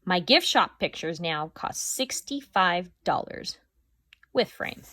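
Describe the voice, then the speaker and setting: A woman teacher reading a chapter book aloud to her class, with expressive, lively storytelling. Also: lowish voice, takes it slowly, no echo.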